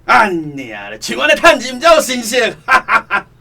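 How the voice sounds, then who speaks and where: An actor with a Taiwanese accent playing a smug, callous, cocky gangster in a radio drama, in a rough husky voice. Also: male voice, very high-pitched, huge pitch swings, moderate pace, pushed and bright, exaggerated voice. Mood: gloating. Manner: cocky, offhand. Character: smug, callous male gangster gloating over ransom money.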